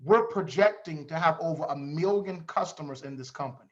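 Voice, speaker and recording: High-voiced man hyping a group call. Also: a little fast. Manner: repetitive, rallying, pushing guests to unmute. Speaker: host of a recruitment call. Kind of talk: hype-driven group call hosting. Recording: dull lo-fi call audio.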